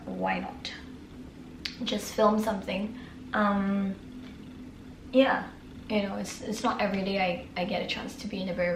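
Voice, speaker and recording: A woman from Singapore with a hoarse, raspy voice from a sore throat, chatting casually through her nightly skincare products and often clearing her throat. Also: mid-range voice, echoey room, a little hiss or hum, low-quality recording. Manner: chatty, filler-heavy, keeps clearing throat. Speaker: beauty vlogger. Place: room.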